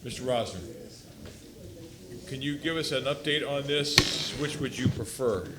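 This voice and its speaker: A man's voice: medium pitch, unhurried, a crowd in the background. Hesitant meeting chairman with an audience. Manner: procedural, hesitant with fillers. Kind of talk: chairing council votes.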